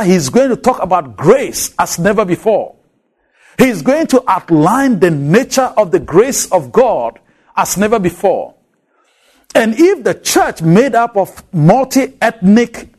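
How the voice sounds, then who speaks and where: Male preacher, African accent, high lively delivery, slow and emphatic with repeated key words. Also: husky. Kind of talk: expository sermon.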